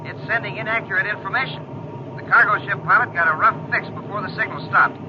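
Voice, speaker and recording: An actor playing a dutiful space control lieutenant giving formal, businesslike reports in a noisy old radio serial. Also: male voice, high-pitched, steady tone, quick pace, muffled recording, close to an everyday voice. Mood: matter-of-fact. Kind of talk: 1950s radio adventure serial acting.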